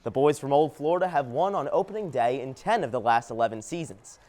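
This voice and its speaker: A man's voice: mid-range voice, lively intonation, brisk, darkish tone. A young man reading a scripted sports news update as a TV anchor.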